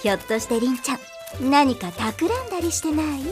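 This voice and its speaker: Casting: very high-voiced excitable girl, playful and nosy, swooping delivery, anime audio drama with music behind. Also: fairly quick. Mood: teasing suspicion.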